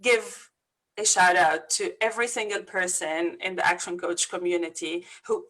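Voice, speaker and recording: A woman's voice: fairly low pitch, fairly even intonation, normal speed, fairly bright tone, low-quality recording. A woman from Lebanon with a Lebanese accent, a business coach speaking earnestly and energetically as a guest in a video-call interview.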